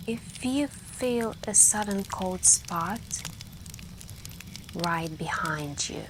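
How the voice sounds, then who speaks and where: Very slow, soft-spoken woman telling campfire stories. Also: medium pitch, lively intonation. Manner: hushed, drawn-out, pausing, intimate, with stretches of whispering. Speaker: roleplay storyteller playing a girlfriend on a camping trip. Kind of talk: soft-spoken ASMR storytelling roleplay. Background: campfire crackling and night ambience.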